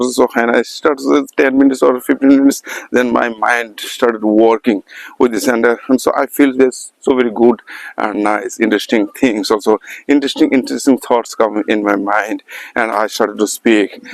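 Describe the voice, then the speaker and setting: Indian-accented man, non-native English, halting motivational vlog talk, mid pitch, close dry sound. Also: dry recording, low-quality recording. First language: not English. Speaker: English learner vlogger. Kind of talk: motivational monologue to camera. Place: calm quiet spot he visits to film.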